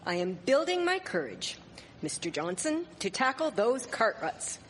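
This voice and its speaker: A woman playing a sharp-witted, proper 1870s townswoman with a wry manner in a live radio drama. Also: on the high side, sing-song, a little slow, slight background noise. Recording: echoey hall, live stage recording.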